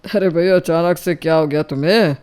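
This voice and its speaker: A man's voice: a little high, steady tone, normal speed, darkish tone, clear, resonant tone, close to an everyday voice. An actor playing a surprised husband and father in a family audio drama. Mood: taken aback.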